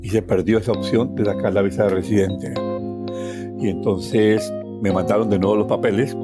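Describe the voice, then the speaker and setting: A middle-aged man with a Colombian accent recounts his own life as a personal story, phrasing deliberately and repeating words for emphasis. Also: lowish voice, fairly even intonation. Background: plucked guitar music.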